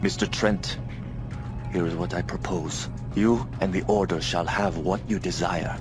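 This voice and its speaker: Stiff formal rebel officer, lowish voice, music under it. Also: male voice, fairly even intonation, a little slow. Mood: grave. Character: grave male rebel lieutenant, formal and scheming. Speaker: rebel lieutenant. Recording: game cutscene with music behind.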